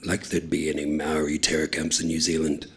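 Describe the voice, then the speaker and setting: A man's voice: rumbling low, completely flat delivery, a little fast, slightly rough, voice pushed down, heavily acted. A man voicing a dismissive male bushwalker mocking the news over a beer. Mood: scoffing. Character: scoffing male bushwalker, pub know-it-all.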